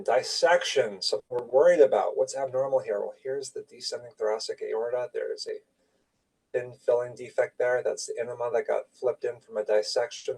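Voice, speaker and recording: A man's voice: mid-range voice, gravelly, darkish tone, far from the mic, low-quality recording. A man giving an informal medical lecture, explaining slides in clipped, conversational phrases. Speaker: radiology lecturer.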